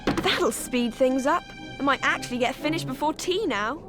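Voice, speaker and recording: A woman's voice: high-pitched, animated, normal speed, loud music behind the voice. An actress playing a chatty, practical teenage witch in a video game, casual and matter-of-fact. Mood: casual, mildly put-upon. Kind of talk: scripted video game cutscene acting.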